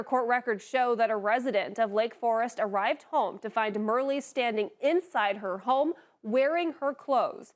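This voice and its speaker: A woman's voice: a little high, no echo, clean studio sound. A woman working as a television news reporter, reading scripted narration.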